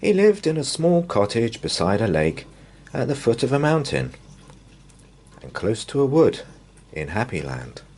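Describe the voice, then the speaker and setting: A man reading a bedtime story aloud to young children as a storyteller, with measured, drawn-out, expressive phrasing. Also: medium pitch.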